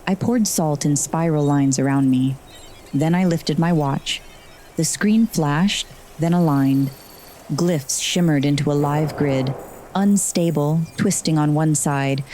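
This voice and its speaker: A woman's voice: low-pitched, unhurried, dark tone, a little hiss or hum. A woman playing a sardonic, frazzled heroine who narrates her magical adventure in first person in an audio drama. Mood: intense, measured. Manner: wry, sarcastic asides.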